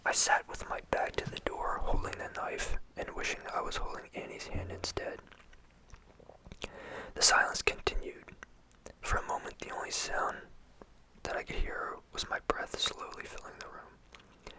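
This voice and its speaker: A storyteller whispering a first-person horror story in a hushed, intimate and steady way. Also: moderate pace, pauses often, poor audio.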